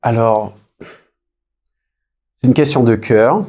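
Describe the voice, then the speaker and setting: A man in his thirties from French Guiana, answering as an interview guest in a reflective, conversational way with frequent pauses. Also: mid-range voice, a little mellow. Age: 30s. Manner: hesitant, reflective, pausing often.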